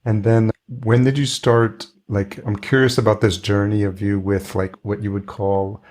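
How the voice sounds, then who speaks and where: A man's voice: lowish voice, flat delivery, normal speed, darkish tone. A man hosting a podcast, asking questions in an informal, attentive conversational way. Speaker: podcast host. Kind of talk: casual interview questions.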